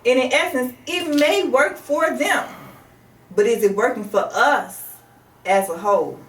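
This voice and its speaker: American female radio host, middle-aged, unhurried deliberate delivery, roomy studio sound. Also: on the high side. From Chicago, United States. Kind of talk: casual motivational radio talk. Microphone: studio microphone on desk. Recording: roomy, distant mic, faint background noise.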